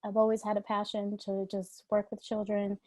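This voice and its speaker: A woman's voice: medium pitch, completely flat delivery, normal speed, warm tone, no room sound. A woman in her thirties, born in Ecuador and raised in New York City, talking in a chatty, friendly way as an alumni panelist and laughing between remarks. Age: 30s. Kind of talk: casual panel talk.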